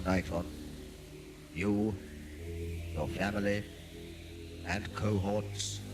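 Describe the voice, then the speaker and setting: A man playing a stern, grave judge with a solemn, portentous delivery in a sci-fi audio drama. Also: lowish voice. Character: stern, grave male judge pronouncing doom. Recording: second-generation tape copy under music.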